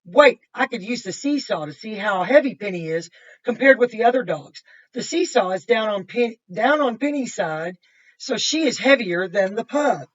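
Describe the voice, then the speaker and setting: A woman's voice: mid-range voice, lively intonation, moderate pace. A woman, a kindergarten teacher reading a picture book aloud to children and adding simple explanations and questions. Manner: simple explanatory phrasing, pointing things out, repeating and asking questions. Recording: muffled, distant microphone.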